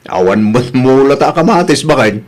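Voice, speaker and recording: An actor playing a shameless, scheming husband who makes evasive excuses in a husky voice in an Ilocano comedy radio drama. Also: male voice, medium pitch, animated, normal speed, natural voice.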